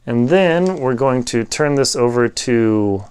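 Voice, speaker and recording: A man giving a casual, unscripted product review, talking in a halting way as he thinks aloud while demonstrating. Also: medium pitch, animated, darkish tone, clear, resonant tone.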